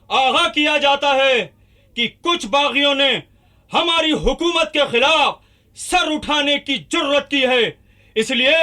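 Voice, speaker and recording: A ceremonious court herald in a historical radio drama. Proclamations ring out very high and sharp, slowly drawn out and declamatory. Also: male voice, full character voice.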